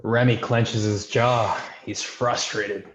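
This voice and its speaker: A man's voice: lowish voice, fairly even intonation, takes it slowly, roomy sound, highs cut off. A man reading screenplay stage directions as scripted narration.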